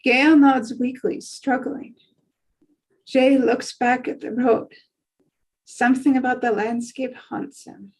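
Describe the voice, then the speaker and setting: Female script narrator; unhurried, even delivery of stage directions, voice a little high. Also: slightly rough, low-quality recording.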